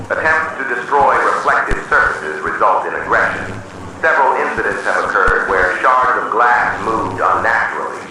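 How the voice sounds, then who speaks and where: A man reading a scripted horror emergency announcement in a solemn, measured, official manner. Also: mid-range voice. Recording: distant, heavily echoing, noisy emergency broadcast.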